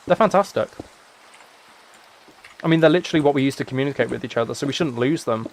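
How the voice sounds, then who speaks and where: A young British man chatting casually as he streams a game, rambling and thinking out loud as he goes. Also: mid-range voice, fast, poor audio. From United Kingdom. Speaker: live streamer.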